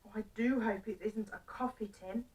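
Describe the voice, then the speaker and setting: A woman's voice: mid-range voice, steady tone, normal speed, a little mellow, distant mic, lightly acted, poor audio. Woman as a fussy little old man, exclaiming. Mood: puzzled, hopeful. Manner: exclamatory grumbling and talking to himself. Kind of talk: children's storybook character voice.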